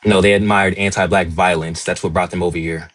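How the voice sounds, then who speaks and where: A man's voice: low-pitched, level tone, fairly quick, fairly bright tone. A man arguing his point steadily and earnestly as a panelist in a live online debate. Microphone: remote call audio.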